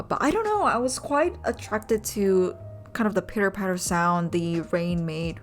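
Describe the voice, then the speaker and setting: A young woman with a lower voice narrates a sketching vlog at an easy, rambling pace, often pausing with ums. She is a native Indonesian speaker talking in English, with soft music playing under her voice. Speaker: vlogger and urban sketcher. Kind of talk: casual voice-over narration.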